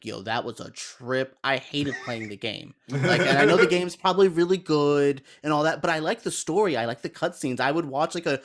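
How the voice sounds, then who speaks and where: A man's voice: medium pitch, fairly bright tone. A man chatting casually as a panelist on a film and gaming show, rambling and conversational, often quoting his own reactions. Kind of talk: casual geeky chat.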